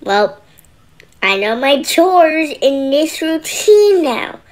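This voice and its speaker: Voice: high voice, sing-song, slow, full character voice. A performer voicing a scared young boy trapped in nightmares, talking to himself in casual slang in an amateur horror roleplay. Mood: wary, muttering.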